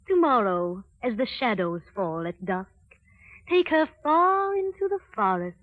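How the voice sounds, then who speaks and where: An actress playing a cold, murderous queen who commands with measured menace in 1930s radio drama style. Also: fairly high pitch, very animated, dry recording. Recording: dull, dry 1930s radio recording.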